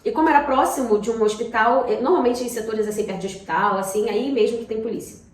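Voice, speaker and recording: A Brazilian woman co-hosting a casual talk, sharing personal experience in a halting way with repeated words. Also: a little high. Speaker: talk show co-host. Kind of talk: casual conversation, personal anecdotes. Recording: echoey room.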